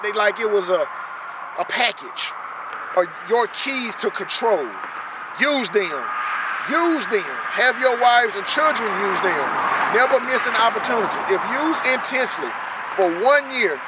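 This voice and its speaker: A man reading a text aloud on a riverbank and commenting on it, earnestly repeating key phrases for emphasis. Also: sits high. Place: outdoors on a riverbank. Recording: loud outdoor noise with passing traffic.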